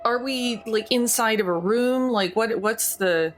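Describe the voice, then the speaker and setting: A woman's voice: on the high side, a little slow, soft background music. Tentative woman playing a tabletop game. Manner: tentative, thinking aloud. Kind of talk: casual game chat.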